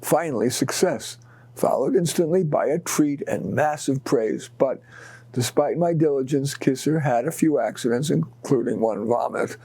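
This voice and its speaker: A man with a mid-pitched voice reads short stories aloud. He tells them expressively and deliberately, pausing between short phrases for drama. The recording is dry. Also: mellow, breathy edge, no room sound. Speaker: author reading own short stories. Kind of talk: expressive read-aloud storytelling.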